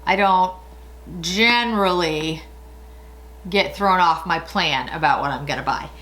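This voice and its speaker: A woman's voice: lowish voice, unhurried, a bit bright. A woman in her late forties chatting casually through a makeup tutorial, rambling and laughing often. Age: 40s. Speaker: beauty vlogger.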